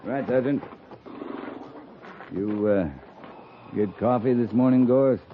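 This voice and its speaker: An American man playing a seasoned, calmly stern cavalry captain in a 1950s radio drama, speaking in a measured, clipped, authoritative way. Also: medium pitch, close to an everyday voice. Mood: dry, commanding. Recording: muffled, noisy 1950s radio recording.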